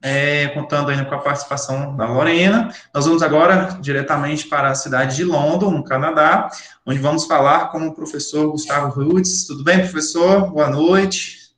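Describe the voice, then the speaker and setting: A man with a somewhat high voice hosts an online academic event, formal and courteous. He sounds as if he is in a bare room that echoes around him. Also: fairly even intonation, moderate pace, a bit bright. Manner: formal and courteous, with repeated words. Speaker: event host and professor.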